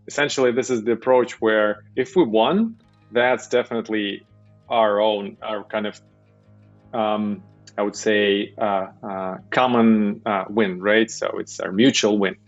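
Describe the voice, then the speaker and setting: A man's voice: lowish voice, fairly even intonation, measured pace, lo-fi sound, faint music behind. A man speaking as a panel guest, conversational, with hesitant phrasing and frequent tag questions seeking agreement. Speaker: panel guest, product management director.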